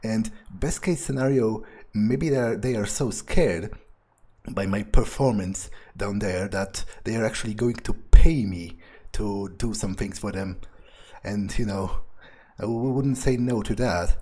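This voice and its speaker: A man's voice: lowish voice, a little slow, warm tone. A man improvising an in-character monologue for a game playthrough, musing hesitantly and sardonically, with some swearing. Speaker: gameplay video player speaking in character.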